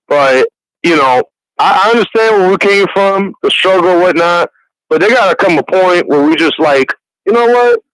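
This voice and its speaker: Excitable young man calling in. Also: high-pitched, moderate pace, no room sound, poor audio. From New York City. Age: 20s. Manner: rambling, restarts often, laughs easily. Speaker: caller. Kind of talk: casual call-in chat. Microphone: phone call.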